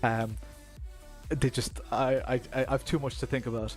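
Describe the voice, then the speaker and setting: A man's voice: mid-range voice, level tone, normal speed, soft background music. An Irish man chatting casually while he streams a sim race, laughing often and joking about himself. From Ireland. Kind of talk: casual live-stream chat.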